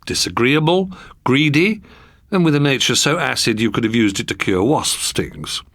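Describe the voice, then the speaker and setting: An Englishman narrating a children's story in a wry, expressive storytelling manner. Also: mid-range voice, normal speed, dry recording, studio quality. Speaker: storybook reader.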